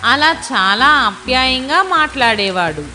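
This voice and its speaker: A woman's voice: high-pitched, expressive, unhurried, music in the background. A woman reading scripted narration for a moral story.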